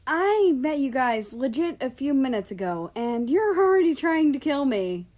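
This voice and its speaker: A woman's voice: sits high, sing-song, normal speed, a little mellow, pitched above the performer's own voice. A woman voicing a nervous, indignant girl in a read-aloud story. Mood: indignant fear.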